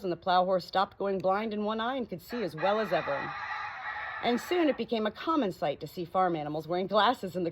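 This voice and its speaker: A woman reading aloud as an audiobook narrator in an expressive storybook style. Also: lowish voice. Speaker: audiobook reader. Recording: clearly noisy home recording.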